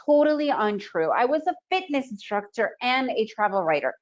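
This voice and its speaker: A middle-aged woman whose first language is Russian, giving an energetic, motivational online trading presentation and often asking "right?". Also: on the high side, normal speed. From Soviet Union. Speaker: trading educator presenting a webinar. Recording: slightly dull lo-fi webinar audio.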